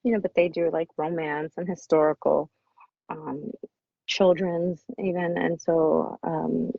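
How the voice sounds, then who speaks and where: A woman speaking as an author guest in a casual interview, effusive and often halting and restarting her sentences. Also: deep voice, level tone, vocal fry, no echo. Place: small cabin in the woods. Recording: dull lo-fi audio.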